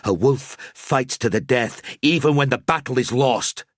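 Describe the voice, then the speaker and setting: A man's voice: mid-range voice, a little slow, dry recording, clean studio sound, voice pushed up, close to an everyday voice. Man doing a defiant wolf warrior. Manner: urgent, defiant pleading. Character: fierce male wolf warrior, stubborn and brave.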